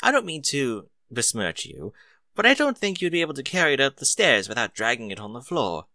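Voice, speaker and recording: A man's voice: mid-range voice, very animated, a little fast, pushed and bright, no echo, clean studio sound, voice pushed up. A man voicing a refined, polite lady with a fussy, genteel manner for a story reading. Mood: prim, apologetic.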